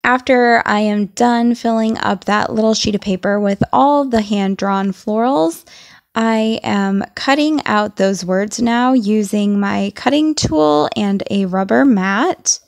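A young woman narrating a journaling tutorial in a chatty, explaining way, her voice a little raspy as she is losing it. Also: mid-range voice, slow. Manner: chatty, enthusiastic, explains each step. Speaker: journaling vlogger. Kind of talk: voice-over craft tutorial.

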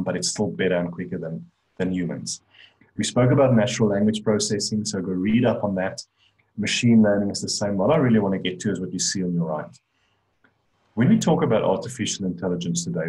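A man's voice: deep voice, darkish tone, rough recording. A middle-aged man with a South African accent, giving an online talk with slides and speaking conversationally, with many restarts and fillers. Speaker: conference speaker on AI. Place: indoor room, on a webcam.

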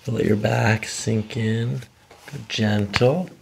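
Gravelly-voiced male chiropractor examining a patient. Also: lowish voice, fairly even intonation, slow pace, noisy background. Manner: clinical, short instructions and questions. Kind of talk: hands-on patient examination talk. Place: small carpeted treatment room, indoors.

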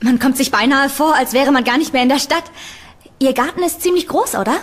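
A woman's voice: high-pitched, a little fast, lightly acted. A woman playing a polite, curious young room-seeker in an audio drama. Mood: polite, impressed. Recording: reverberant room.

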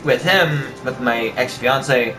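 A man's voice: medium pitch, takes it slowly, over music, low-quality recording. A young man chatting casually in a bedroom into a camera a short way off, joking and swearing with a friend. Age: young adult. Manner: profane, joking. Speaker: vlogger. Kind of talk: casual banter. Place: small bedroom. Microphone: webcam or console camera a short way off.

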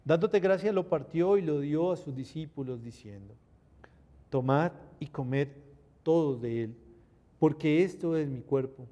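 Reverberant middle-aged priest praying solemnly. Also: male voice, on the high side, warm tone, highs cut off. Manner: measured, reverent recitation. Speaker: priest celebrating Mass. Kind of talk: solemn liturgical prayer. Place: indoor chapel at an altar. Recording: reverberant chapel sound.

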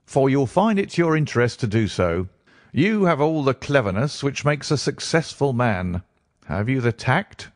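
A man voicing a brusque, bustling gentleman who asks blunt questions in an audiobook. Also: mid-range voice, very animated, normal speed, no echo, clean studio sound, lightly acted. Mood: abrupt. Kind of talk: audiobook character reading.

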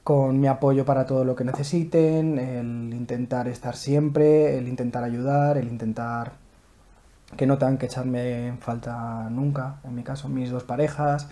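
Halting man from Madrid, seated. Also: mid-range voice, fairly even intonation, dark tone. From Madrid, Spain. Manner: hesitant, many restarts and fillers. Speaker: interviewee. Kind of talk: relaxed personal account. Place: living room at home, indoors.

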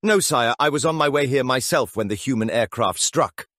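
A man voicing a scheming, obsequious demon lord in a theatrical, shrill audiobook performance. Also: moderate pace, fairly bright tone, dry recording, clean studio sound, natural voice. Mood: pleading, fawning. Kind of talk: audiobook character acting.

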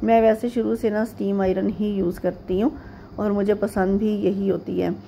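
A middle-aged woman from Pakistan narrating a home vlog in a rambling, chatty way, with frequent pauses and fillers. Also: medium pitch, level tone, vocal fry, dark tone, a little hiss or hum. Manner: homely, chatty tone. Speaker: vlogger. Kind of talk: casual chatty voice-over narration.

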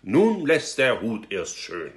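A man voicing an excitable crowd of townsfolk in a storybook reading, exclaiming in pompous awe. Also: medium pitch, takes it slowly. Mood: awed. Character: excitable crowd of townsfolk marvelling at new fashions.

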